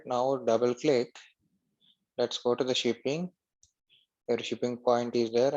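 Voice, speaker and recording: A man narrating a screen-share software tutorial step by step as an instructor. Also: mid-range voice, highs cut off.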